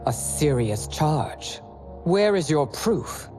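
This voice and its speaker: A low-voiced, imperious empress. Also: female voice, very animated, slow pace, dark tone, full character voice. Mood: grave. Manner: regal, grave, formal. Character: proud, stern middle-aged empress, wounded and imperious. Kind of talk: dramatic video game cutscene acting. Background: dramatic music.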